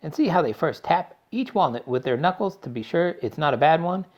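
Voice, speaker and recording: A man voicing an eccentric male candy-factory owner, brisk, bossy and theatrical. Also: fairly high pitch, lively intonation, voice pushed up. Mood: explaining. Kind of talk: audiobook character voice.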